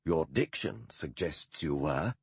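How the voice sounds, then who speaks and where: A man voicing a courteous English gentleman detective, speaking slowly with polite, formal diction. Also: a bass voice, lively intonation, gravelly, slightly rough, no room sound, full character voice.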